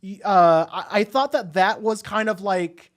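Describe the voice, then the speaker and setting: A man's voice: sits high, unhurried. A man hosting a podcast, casually discussing films in a rambling way with frequent filler phrases and hesitations. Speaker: podcast host reviewing films.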